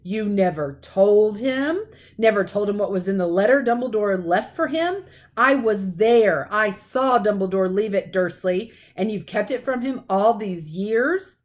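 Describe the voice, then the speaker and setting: Lady doing a big rustic giant guy for a storybook, loud n blustery, kind but easily outraged. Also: mid-range voice, expressive, measured pace, close to an everyday voice. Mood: furious. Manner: thundering, exclamatory, folksy dropped-g speech. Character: booming, indignant, kindly giant man, rustic gamekeeper.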